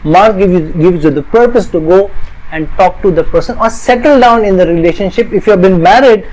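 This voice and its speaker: High-pitched middle-aged astrologer guy from india, earnest, repeats himself in long lists. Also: brisk, darkish tone, poor audio. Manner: earnest, repetitive, builds lists of parallel phrases. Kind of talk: explanatory talk to camera.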